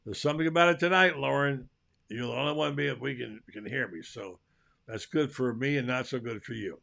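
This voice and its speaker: An older man hosts a live talk show, chatting in a folksy, easygoing way. His voice is gravelly and bright, and he calls out loudly to guests who can't hear him. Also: mid-range voice, a little fast. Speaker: host. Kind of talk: casual live show chat.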